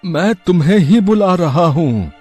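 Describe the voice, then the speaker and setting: A man voicing a gentle, earnest old villager in an animated moral story, speaking politely and deliberately. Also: sits high, pitched above the performer's own voice. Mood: friendly, offering. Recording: music under the voice.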